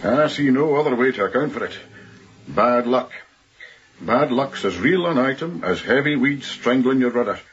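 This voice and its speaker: An actor playing a gloomy, superstitious ship's officer in a radio drama, speaking with solemn, deliberate earnestness. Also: male voice, medium pitch, lively intonation, lo-fi sound, lightly acted. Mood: grave, insistent.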